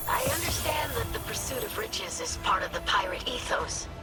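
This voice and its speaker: A woman's voice: mid-range voice, animated, moderate pace, natural voice. An actress playing an earnest, principled female adviser in a video game, formal and precise in her phrasing. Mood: politely reproving. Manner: formal, precise, politely reproving. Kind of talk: video game character dialogue. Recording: loud music behind the voice.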